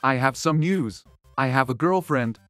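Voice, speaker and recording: A woman's voice: rumbling low, lively intonation, moderate pace, no echo, soft background music. A woman playing a protective, peacemaking sixteen-year-old boy in a dramatised online story. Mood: casual.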